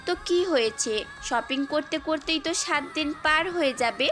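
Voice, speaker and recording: A woman's voice: high-pitched, moderate pace, lightly acted. A woman playing a bossy, teasing young bride-to-be in an audio story, with a demanding, playful manner. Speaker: voice actor in an amateur audio story. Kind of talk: acted audio story dialogue. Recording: background music throughout.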